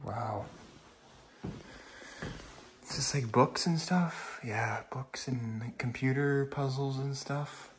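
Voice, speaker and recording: A man's voice: medium pitch, slow pace, long pauses. Casual man exploring, noisy handheld recording. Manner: informal, awed, mildly sweary. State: getting over a cold with a lingering cough. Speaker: urban exploration vlogger. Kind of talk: casual walkthrough commentary. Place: inside empty rooms of a large abandoned house.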